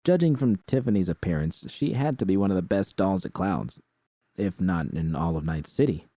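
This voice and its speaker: A man's voice: sits low, lively intonation, normal speed, warm tone, dry recording. A man reading a first-person story as a scripted narration. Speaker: audiobook-style narrator of fan fiction.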